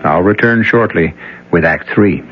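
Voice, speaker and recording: Male host, measured ominous narration, dull old radio sound. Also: sits low. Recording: dull old radio broadcast with music behind.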